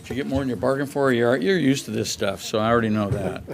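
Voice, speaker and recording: A man's voice: mid-range voice, fast talker, creaky, noisy background. Casual man chairing a board meeting. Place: council meeting room.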